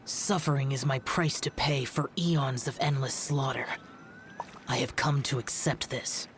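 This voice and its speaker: A man's voice: mid-range voice, measured pace, slight background noise, natural voice. A male actor playing a stoic, youthful immortal demon-slayer in an anime video game, speaking in a grave, formal, aloof way. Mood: brooding. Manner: formal, grave, archaic phrasing. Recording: music behind the voice.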